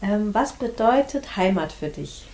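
A woman's voice: mid-range voice, lively intonation, measured pace, a little mellow, a little hiss or hum. A woman hosting a podcast who asks questions and adds short affirming murmurs. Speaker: podcast host. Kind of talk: interviewing.

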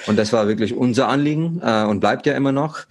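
A man in his thirties from India, a startup founder talking as a podcast guest with many pauses and fillers. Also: mid-range voice. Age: 30s. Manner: hesitant, many fillers and restarts. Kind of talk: podcast interview.

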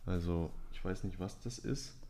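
Calm low-voiced young man hosting a quiz. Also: normal speed. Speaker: quiz host. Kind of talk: podcast quiz, reading out clues.

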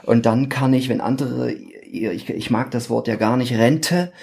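A man in his forties speaks with a Thuringian German accent. His voice is gravelly, pitched in the middle, and he talks in a casual, halting way with lots of ums and restarts. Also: darkish tone. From eastern Germany. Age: 40s. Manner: hesitant, many ums and restarts. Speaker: podcast guest, actor. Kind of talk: casual interview chat.

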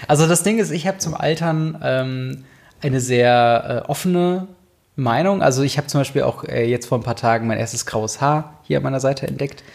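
A man's voice: medium pitch, moderate pace, creaky. Rambling young man, casual podcast chat. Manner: relaxed, rambling, lots of fillers. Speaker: podcast co-host.

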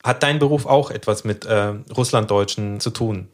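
Measured, hesitant man in his thirties. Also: fairly low pitch. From Kazakhstan. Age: 30s. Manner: measured, explanatory, many hesitation fillers. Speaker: podcast co-host. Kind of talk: explanatory podcast conversation.

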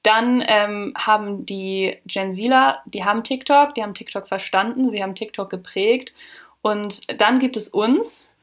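A woman's voice: mid-range voice, unhurried, lo-fi sound. A woman in her twenties co-hosting a podcast, joking with self-mocking irony and laughing often. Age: 20s. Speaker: podcast co-host. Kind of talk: casual chat.

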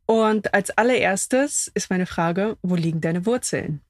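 Excited woman podcast host. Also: medium pitch, measured pace, no room sound. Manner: excited, effusive. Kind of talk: casual interview. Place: inside a camper van.

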